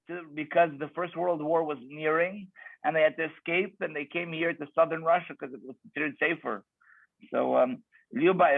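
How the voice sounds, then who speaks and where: A man's voice: on the high side, gravelly, no echo. A man speaks as a rabbi over a video call, earnest and prone to repeating words. The sound is thin and phone-like, with a dry, close feel. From Canada. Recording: tinny video-call audio.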